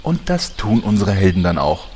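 A man's voice: deep voice, very animated, moderate pace, mellow, slight background noise. A man as the narrator, reading scripted storytelling for a parody fantasy audio drama. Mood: measured storytelling. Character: storytelling male narrator of heroes' adventures.

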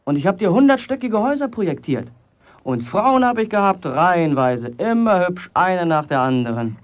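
A proud, wary teenage village apprentice in a radio play. He is terse and dry, stubbornly sure of himself, with an animated melody to his speech. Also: sits high, moderate pace. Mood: boastful, musing. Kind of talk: German radio drama acting.

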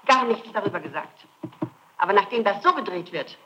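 A woman's voice: medium pitch, animated, brisk, muffled recording, noisy background. A middle-aged woman under interrogation, answering with insistent, emphatic and repeated denials on an archival tape. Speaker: suspect being questioned by police and prosecutors. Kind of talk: interrogation testimony, spontaneous speech.

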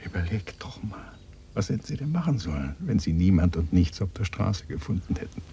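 Low and gravelly, a former resistance man with bitterness under every question. He probes and interrogates with sardonic bite, in radio drama dialogue over faint background noise. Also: sing-song, a little fast, mellow. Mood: heated, impatient.

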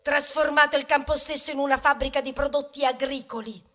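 A woman's voice: sits high, steady tone, fairly quick, slight background noise. A woman playing a talking grain of wheat in a theatrical monologue, wry and indignant, moving between muttered asides and protest. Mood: reproachful. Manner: ironic, conversational, swinging from muttered asides to loud protest. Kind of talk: theatrical stage monologue, ballad-like storytelling.

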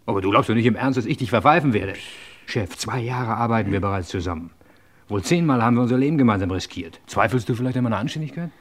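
An eager gangster sidekick, played by a male actor in a vintage radio drama. His voice sits at a middle pitch, and he is chummy and ingratiating, keen to prove his loyalty. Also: lively intonation, quick pace, close to an everyday voice. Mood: protesting, uneasy. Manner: chummy, chuckling, protesting. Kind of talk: German crime radio play acting of the 1950s to 80s.

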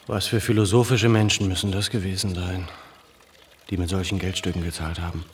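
An actor playing an earnest, reflective Armenian gentleman in a radio drama, respectful and brooding. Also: low-pitched, flat delivery, brisk, a little hiss or hum, lightly acted. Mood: humble.

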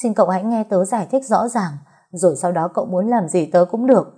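A woman's voice: medium pitch, quick pace, dark tone, slightly rough, natural voice. A woman voicing a meek, guilt-ridden young daughter, pleading and apologetic, in an audio story.

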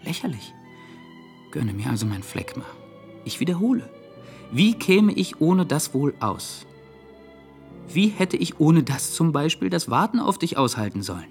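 An actor playing an earnest, phlegmatic young gentleman in love, speaking in a musing, wordy, pleading way. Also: fairly high pitch, very animated, measured pace, pauses often, faint music behind.

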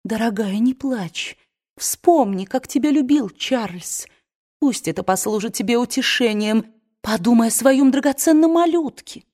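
A woman plays a kind young woman whose voice lifts a little higher than the narrator's. She speaks softly and soothingly, as in a read-aloud novel. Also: expressive, measured pace. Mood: consoling. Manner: soft, soothing, sweet.

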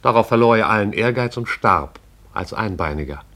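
A man narrating a radio play in a measured, poetic storytelling manner. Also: fairly low pitch, lively intonation, fairly bright tone.

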